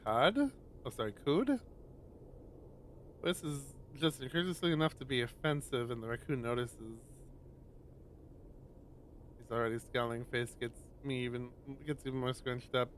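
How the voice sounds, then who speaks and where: A man's voice: medium pitch, moderate pace, long pauses, slight background noise. Chatty man streamer reading a game. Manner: animated, chatty asides. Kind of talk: reading a visual novel aloud with asides.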